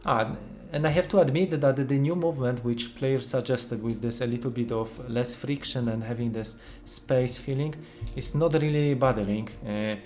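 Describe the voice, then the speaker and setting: A man with a Polish accent, a native Polish speaker, casually commenting on a game he is playing while thinking aloud with many fillers and pauses. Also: medium pitch, a little mellow, faint music behind. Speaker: game developer streaming gameplay.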